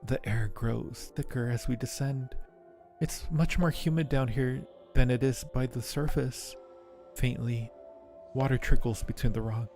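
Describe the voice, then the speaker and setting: A man's voice: medium pitch, fairly even intonation, unhurried, a little mellow, lightly acted. A man voicing a lovestruck undead acolyte from a horror game, narrating with earnest, brooding resignation. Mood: brooding, resigned. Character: devoted undead cave acolyte, fatalistic and lovestruck. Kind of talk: dramatic reading of game narration. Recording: music behind the voice.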